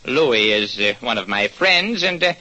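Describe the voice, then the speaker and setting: An actor playing a shabby, evasive neighborhood doctor with formal, hesitant speech, in a vintage radio detective drama. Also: male voice, medium pitch, sing-song, a little slow, pushed and bright, full character voice, poor audio. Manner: formal, hesitant, throat-clearing.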